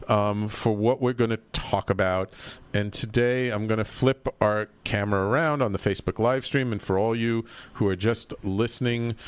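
A man's voice: lowish voice, clean tone, no echo. A man hosting a talk show, talking in a rambling, earnest way with repeated words. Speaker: host. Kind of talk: casual talk show chat, livestream.

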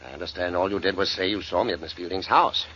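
An actor playing a flustered, indignant doctor under suspicion, protesting in 1940s radio style on a muffled old recording. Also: male voice, deep voice, fast talker, background noise, close to an everyday voice. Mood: uneasy, subdued. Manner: agitated, protesting. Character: flustered, indignant male doctor accused of murder.

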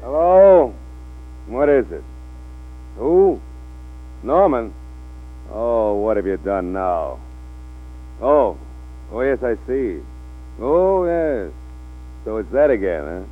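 A man's voice: medium pitch, very animated, slow pace, pauses often. An actor playing an exasperated, fatherly male film studio boss in a 1940s radio drama. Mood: weary, skeptical. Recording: old 1940s radio, tinny with hum.

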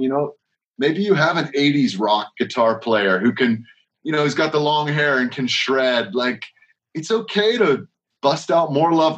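A man who leads worship, talking casually in an interview, rambling with frequent restarts and strings of rhetorical questions. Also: mid-range voice. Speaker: worship leader.

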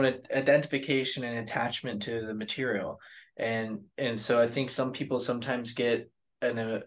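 A man hosting a podcast, asking earnest questions in a halting way, often searching for the right word. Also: fairly low pitch. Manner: earnest, halting, fumbling for words. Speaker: host. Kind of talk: casual interview questions.

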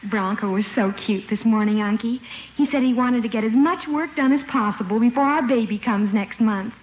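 A sweet young wife played by a woman, high-voiced, affectionate and chatty. The 1950s radio comedy sounds roomy on an old recording. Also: moderate pace, phone-quality sound, natural voice. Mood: fond, cheerful. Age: young adult. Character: affectionate young expectant wife, caring niece.

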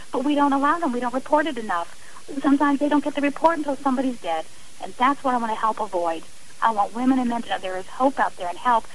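A woman's voice: high-pitched, fairly bright tone, slight background noise, rough recording. A woman speaking earnestly and emphatically as a guest on a radio interview.

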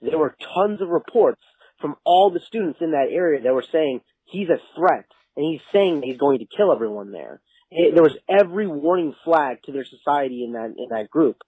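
A man leaving a rambling voicemail as a caller, halting and correcting himself, with some swearing. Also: fairly high pitch, expressive, warm tone, slightly rough, dry recording, rough recording. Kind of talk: casual, rambling voicemail commentary.

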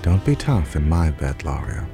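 A man playing a bookish, proper, tender scholar in a radio play, speaking in an earnest, halting way. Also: very deep voice, big pitch swings, music in the background, heavily acted.

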